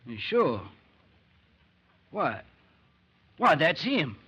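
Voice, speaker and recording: A folksy country farmer with a highish, animated voice and hesitant, homespun phrasing. He is an anxious, plain-spoken father in an old-time radio drama, on a dull, muffled recording. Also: extremely slow, breathy edge, heavily acted. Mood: hesitant, earnest.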